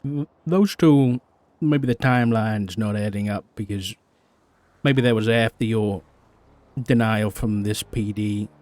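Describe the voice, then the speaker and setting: A man playing a serious, patient lawman in an improvised roleplay phone conversation, speaking in measured, terse phrases. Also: fairly low pitch, a little mellow, no room sound, lightly acted. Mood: probing, reproachful. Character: sober, patient lawman questioning a fallen former colleague.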